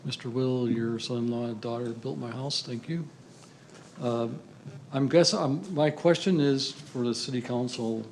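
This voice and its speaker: A man's voice: medium pitch, darkish tone, a little hiss or hum, low-quality recording. An older retired man speaking as a resident at a public hearing, earnest and rambling as he questions the council. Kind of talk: public comment.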